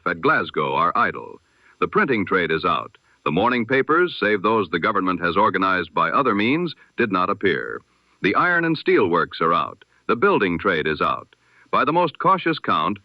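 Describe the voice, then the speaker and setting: A low-voiced man reads the news in the solemn, measured style of an old-time radio broadcast. His pitch rises and falls widely through each sentence. The sound is dry, close and muffled, like a lo-fi radio recording. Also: no room sound. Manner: measured, solemn announcer cadence. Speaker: newsreader.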